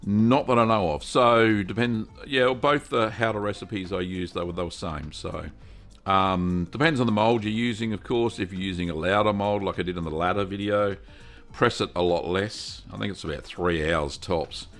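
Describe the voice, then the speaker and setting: A fairly low-voiced middle-aged man talks through a relaxed live stream. He is chatty and upbeat, often restarting his sentences. Soft music sits faintly in the background. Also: moderate pace. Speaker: cheese-making livestream host. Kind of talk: casual live-stream chat.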